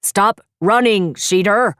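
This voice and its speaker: A woman doing a slow, booming bear professor. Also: a bit bright, smooth, no room sound, clean studio sound.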